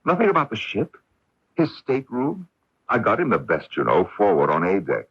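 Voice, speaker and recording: A man's voice: lowish voice, huge pitch swings, moderate pace, breathy edge, exaggerated voice. An actor playing a brooding, sardonic middle-aged self-made husband and father in a radio drama. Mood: grumbling. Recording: muffled early-1980s AM radio broadcast.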